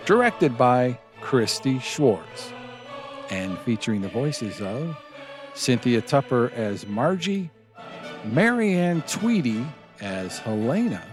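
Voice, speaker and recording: Animated male host over music. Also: mid-range voice, takes it slowly. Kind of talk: scripted outro narration and credits.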